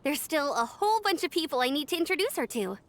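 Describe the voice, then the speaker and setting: A woman's voice: high-pitched, big pitch swings, fast talker, fairly bright tone, slight background noise, heavily acted. A woman voicing a friendly, caring, upbeat young female knight with a breezy manner in a video game. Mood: cheerful.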